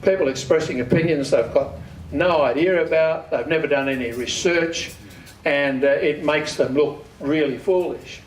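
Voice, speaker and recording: An Australian man with a slightly high-pitched voice gives an informal club presentation, recorded from a distance in a very echoey room. He is plain-spoken and often poses questions that he then answers himself. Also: normal speed, slight background noise. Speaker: amateur radio club speaker. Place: community hall.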